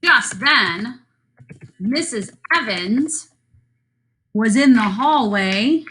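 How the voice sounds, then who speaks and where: A woman's voice: fairly high pitch, lively intonation, extremely slow, fairly bright tone, rough recording. A woman teacher giving a lesson to children, thinking aloud as she writes a story, with playful asides. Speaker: elementary school teacher.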